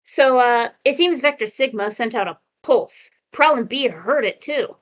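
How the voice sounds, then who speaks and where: A woman voicing a brash, high-pitched robot. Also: expressive, measured pace. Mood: chatty, brisk. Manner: informal, punchy. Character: brash, cocky robots with chatty swagger. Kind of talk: amateur comic dub, casual dialogue.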